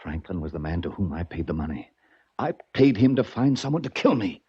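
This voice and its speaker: Husky, frantic hunted man. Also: low voice, sing-song, brisk, darkish tone, heavily acted. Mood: agitated. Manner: pleading, agitated, overwrought. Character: desperate, frightened wealthy man marked for death. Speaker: actor in a radio drama. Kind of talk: 1940s American radio drama acting. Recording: dull vintage 1940s radio recording.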